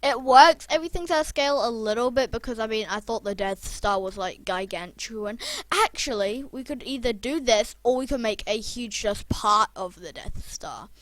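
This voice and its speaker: A young YouTuber with a croaky voice, rambling casually and chatting through a building video. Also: mid-range voice, moderate pace, a bit bright, no room sound. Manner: rambling, chatty, self-deprecating. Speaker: Minecraft YouTuber. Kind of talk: casual gameplay commentary.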